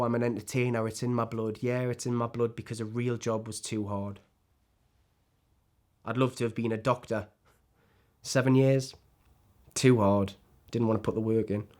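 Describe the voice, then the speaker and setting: A man's voice: medium pitch, dark tone, lightly acted. A man performing a dramatic screen monologue as a disillusioned, self-loathing celebrity actor, sardonic and emotionally exposed. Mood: ashamed, bitter.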